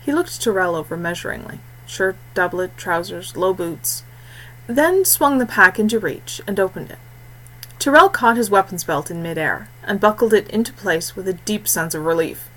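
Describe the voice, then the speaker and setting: A woman narrating a scripted fantasy story in a measured, expressive way with deliberate phrasing. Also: medium pitch, low-quality recording. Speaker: audiobook narrator.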